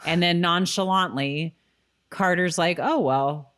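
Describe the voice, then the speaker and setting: Low voiced lady doing a casual podcast recap, teasing, acts out little quotes. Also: takes it slowly, a bit bright, smooth. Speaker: podcast co-host.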